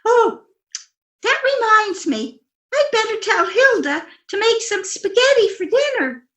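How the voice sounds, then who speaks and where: A ditzy, dreamy sitcom wife in a very high, girlish voice. She gushes innocently and takes her time, in old-time radio comedy style with a roomy echo. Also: big pitch swings, a little slow, fairly bright tone, full character voice. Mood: innocent gushing. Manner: naive, gushing, innocent delivery of absurd remarks. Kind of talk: re-enacted 1940s radio comedy acting. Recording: roomy, echoing voice recording.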